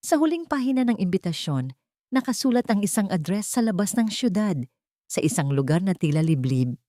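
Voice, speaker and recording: A woman's voice: mid-range voice, very animated, fairly quick, mellow, dry recording, clean studio sound. A woman reading a scripted romance story in a dramatic storytelling style.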